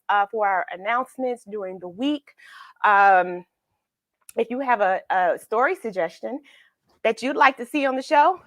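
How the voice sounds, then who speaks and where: A woman's voice: on the high side, fairly bright tone, no echo, clean studio sound. A woman hosting a children's read-along, chatting informally in a playful, affectionate, encouraging way and repeating phrases for emphasis.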